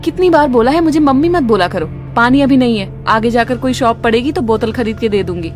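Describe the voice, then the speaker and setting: A woman's voice: fairly high pitch, expressive, fairly quick, faint music behind, close to an everyday voice. A woman voicing a curt, snappish young mother in a cartoon story. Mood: scolding. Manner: curt, scolding. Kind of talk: cartoon dialogue.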